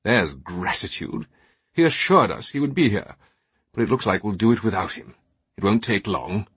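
A man's voice: lowish voice, animated, normal speed, a bit bright, breathy edge, no echo, exaggerated voice. A man voicing an imperious, scheming older British knight with a haughty upper-class accent, in an audiobook. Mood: scornful. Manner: pompous, haughty.

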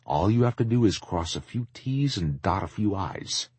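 A man voicing a kindly, dreamy old shopkeeper, gentle, encouraging and wistful, in an audiobook. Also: fairly low pitch, lively intonation, normal speed, husky, no room sound, voice pushed up. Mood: warm, wistful. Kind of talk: audiobook character acting.

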